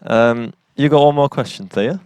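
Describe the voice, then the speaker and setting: A man's voice: medium pitch, normal speed, clear, resonant tone, no echo. A man from England, chatting playfully about his band outdoors. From northeast England. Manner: joking, relaxed, playful. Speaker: band frontman. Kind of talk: casual band chat.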